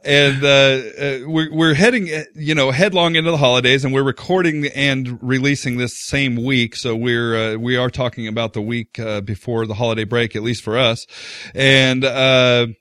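A man's voice: medium pitch, fairly even intonation, moderate pace, fairly bright tone, no room sound, clean studio sound. Rambling man hosting a podcast. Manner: rambling, many fillers and restarts. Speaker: podcast host. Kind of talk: casual podcast chat.

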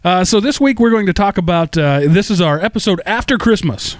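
A man's voice: high voice, expressive, a little fast, a little hiss or hum. Chatty man hosting a tech podcast. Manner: chatty, self-deprecating, many fillers and restarts. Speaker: podcast host. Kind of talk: casual podcast banter.

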